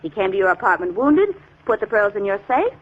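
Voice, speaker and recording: Animated radio sleuth's sweetheart. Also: female voice, mid-range voice, fairly quick. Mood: eager. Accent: American. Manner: lively, inquisitive. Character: eager, quick-witted young sweetheart of a sleuth. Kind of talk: 1940s radio acting. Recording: muffled, hissy 1940s radio recording.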